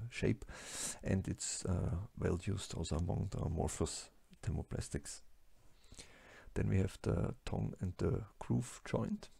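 A man with a German-speaking accent lectures over slides in a very low, deeply gravelly voice. He talks haltingly, stopping often for fillers and restarts. The sound is close and dry, with no room around it. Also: warm tone, dry recording. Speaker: lecturer on plastics engineering.

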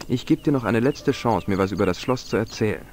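A man's voice: on the low side, expressive, fast, a little mellow, slight background noise, close to an everyday voice. A man playing a wry, persistent young adventurer in a video game, questioning people in a dry, ironic manner. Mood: probing.

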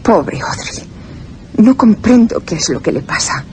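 A woman's voice: mid-range voice, expressive, measured pace, lightly acted. Woman acting plaintive, worried young lady. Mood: worried, despairing. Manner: theatrical, plaintive, polite. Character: worried, despairing young lady, devoted sister. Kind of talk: period radio drama acting. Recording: slightly noisy radio drama.

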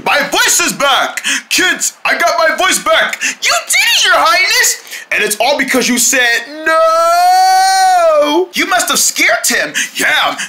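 One-person paper-puppet skit with shifting voices. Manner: switches between squeaky and low put-on voices, lots of shouting and crying. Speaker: puppeteer voicing paper cutout characters. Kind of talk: homemade paper puppet skit, one performer voicing all characters.

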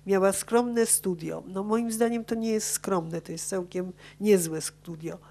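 A woman's voice: medium pitch, slow pace, mellow. A woman reading an academic paper aloud at a conference, stumbling now and then over words as she follows her script. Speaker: conference speaker. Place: conference auditorium. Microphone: gooseneck table microphone.

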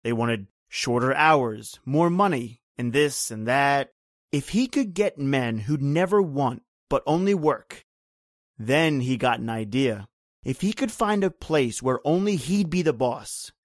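A grim island man, played by a male actor, spins a bitter horror tale in the manner of old-time radio. His phrasing is folksy, rhythmic and brooding. The sound is dry, with a mid-pitched voice. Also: dry recording, lightly acted. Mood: grim, intense.

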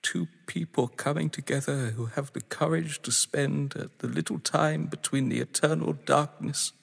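A man acting a bitter, possessive husband in an audio drama, with deliberate, theatrical, articulate delivery. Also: on the high side, fairly even intonation, creaky, breathy edge, heavily acted. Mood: desperate pleading. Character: bitter, possessive male crime-story lover, pleading then menacing. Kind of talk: dramatic stage-play acting. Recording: long echoing reverb.

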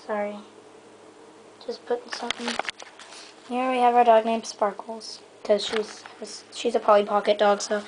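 A woman's voice: on the high side, level tone, a little slow, long pauses, noisy background. A young female vlogger giving a rambling, casual show-and-tell tour of her toy horse stable, filmed on a handheld camera indoors. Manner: rambling, lots of um and so yeah. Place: indoor bedroom floor. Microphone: handheld camera mic.

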